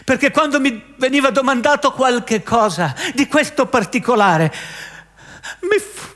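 A man playing a frightened, tortured prisoner who pleads haltingly with his judge in a theatrical radio drama. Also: extremely high pitch, a bit bright. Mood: broken, anguished.

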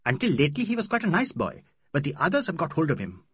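A man's voice: on the high side, huge pitch swings, fast talker, fairly bright tone, slightly rough, no echo, studio quality, pitched above the performer's own voice, heavily acted. A man voicing a gloomy, aggrieved male lawyer who complains with raised voice.

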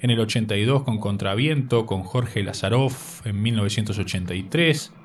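A man from Uruguay, reading a prepared radio text as the presenter in a measured way. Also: fairly low pitch, far from the mic. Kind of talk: scripted radio narration.

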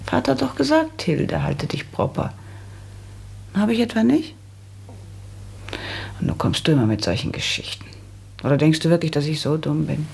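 An actress playing a prim, practical and resolute young woman in a German radio drama, speaking firmly with a husky, creaky voice. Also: sits low, big pitch swings, moderate pace, pauses often, darkish tone, full character voice. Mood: indignant, determined. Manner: firm, matter-of-fact.

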